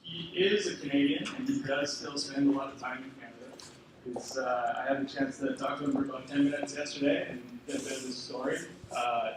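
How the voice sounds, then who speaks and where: A man's voice: mid-range voice, fairly even intonation. Man, conference emcee, casual with lots of ums, far from mic, very echoey, noisy. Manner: casual, halting with fillers. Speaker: event emcee. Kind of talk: introducing a speaker. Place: conference room.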